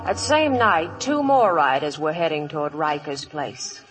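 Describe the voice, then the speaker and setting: A woman's voice: low-pitched, big pitch swings, a little slow, rough recording. An actress playing a wistful frontier woman who narrates and acts out her story in a 1950s radio western. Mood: reflective, grave. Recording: vintage radio broadcast with music.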